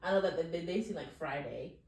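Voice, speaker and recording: A young woman chatting casually as a podcast co-host, animated and laughing often as she acts out quotes. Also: lowish voice, quick pace, distant mic. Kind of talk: casual chat. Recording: roomy, from off-mic.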